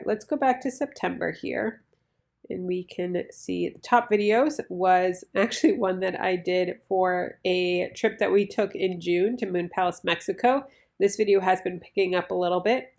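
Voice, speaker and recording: A woman with a slightly raspy, nasal, congested voice from flu, talking casually and explaining things as an online video creator. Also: fairly low pitch. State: sick with flu and bronchitis. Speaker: YouTuber reviewing her online business income. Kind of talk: casual explanatory vlog talk.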